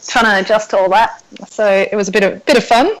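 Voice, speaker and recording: A woman's voice: medium pitch, fast, poor audio. A young woman with an Australian accent, originally from South Africa, chatting casually as a podcast guest and laughing often. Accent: Australian with South African roots. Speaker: personal trainer, podcast guest. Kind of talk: casual interview chat. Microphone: remote call.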